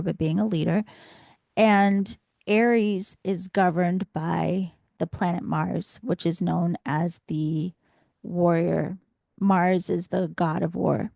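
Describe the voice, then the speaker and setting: A woman hosting a spiritual podcast as a reflective, instructive monologue, her voice slightly scratchy and hoarse from much talking. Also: fairly low pitch, darkish tone, no room sound. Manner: reflective, instructive, posing rhetorical questions. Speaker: podcast host and spiritual teacher.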